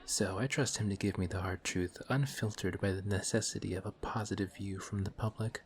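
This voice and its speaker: A man's voice: on the low side, steady tone, fairly quick, lightly acted. A man playing a suave, polite villain. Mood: courteous. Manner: courteous, formal, sly and quietly taunting. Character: suave, polite male villain in civilian clothes. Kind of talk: one-sided audio roleplay. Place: coffee shop scene with faint café chatter.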